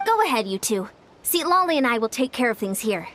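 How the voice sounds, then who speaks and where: A woman playing a wary, level-headed young military strategist in a video game, speaking in a measured, serious way. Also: fairly high pitch, sing-song, noisy background. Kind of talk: dramatic video game voice acting. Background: faint music.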